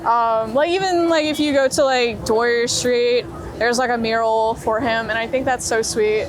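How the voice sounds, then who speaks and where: A woman chatting casually as an interviewed photographer, informal and excitable, swearing freely, on a clip-on mic outdoors. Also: on the high side, normal speed. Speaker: street photographer being interviewed. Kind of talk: casual chat. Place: outdoors on busy city streets. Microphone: wireless clip-on mic. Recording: busy crowded street with passing traffic.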